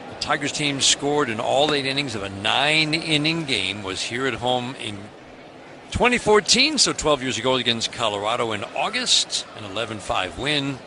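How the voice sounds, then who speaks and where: An American man calling baseball play-by-play as a live radio announcer. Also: medium pitch. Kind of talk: live radio sports commentary. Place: stadium broadcast booth. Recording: clearly noisy ballpark broadcast.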